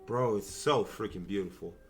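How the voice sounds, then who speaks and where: A young man doing casual live reaction commentary as a game streamer, talking in slangy, animated bursts. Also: on the low side, moderate pace, soft background music.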